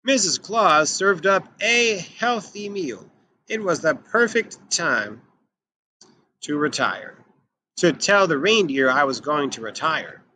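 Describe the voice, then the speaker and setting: A man reading a children's picture book aloud in an expressive, emphatic way. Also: on the high side, takes it slowly, a little hiss or hum, low-quality recording. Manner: animated, emphatic delivery. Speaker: children's storybook reader.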